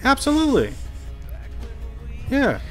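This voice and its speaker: A man's voice: very high-pitched, huge pitch swings, takes it slowly, soft background music. A man co-hosting a casual podcast, trading upbeat, joking banter. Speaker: podcast co-host.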